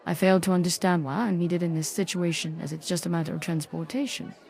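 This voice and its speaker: An actress playing a young private detective in a video game, sardonic, guarded and formally polite. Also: female voice, fairly low pitch, steady tone, fast talker, creaky, a little mellow, breathy edge, some chatter behind. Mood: politely firm. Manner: dry, wry, formally polite. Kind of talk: video game dialogue and narration.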